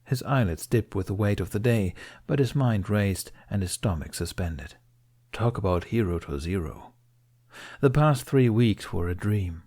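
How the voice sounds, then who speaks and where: A man with a lowish voice narrates an audiobook. His delivery is scripted, dramatic storytelling, read aloud as prose. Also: expressive, measured pace, darkish tone.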